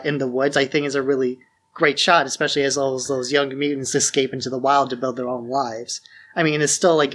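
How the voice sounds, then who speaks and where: Male podcast co-host with a slightly high voice, casual, thoughtful film analysis. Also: a bit bright.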